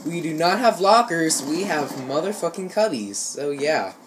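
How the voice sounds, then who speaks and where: A man's voice: fairly high pitch, expressive, measured pace. A young American man telling casual stories over gameplay, with slangy, swear-heavy talk. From Ohio, United States. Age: teenager or young adult. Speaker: gaming YouTuber. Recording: noisy home recording, gameplay sounds behind. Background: shooter game sounds.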